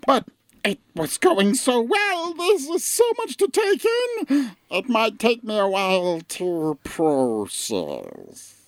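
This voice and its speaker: A performer voicing a slow, easily hurt disembodied alien intelligence in a comic radio sketch. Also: medium pitch, huge pitch swings, no room sound, heavily acted. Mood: wounded.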